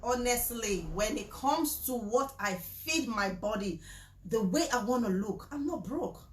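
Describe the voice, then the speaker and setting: A woman, talking casually to the camera, mixing a sales pitch with preaching, emphatic and repetitive, with frequent laughter. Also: animated, measured pace, a little hiss or hum. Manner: chatty, emphatic, repeats herself, laughs often. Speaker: vlogger promoting supplements. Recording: echoey room.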